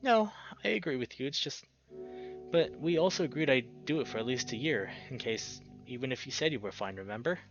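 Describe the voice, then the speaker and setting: A man's voice: medium pitch, lively intonation, fairly quick, highs cut off, soft background music, close to an everyday voice. A man voicing a caring but exasperated male bear in a visual novel, earnest and emphatic. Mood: defensive.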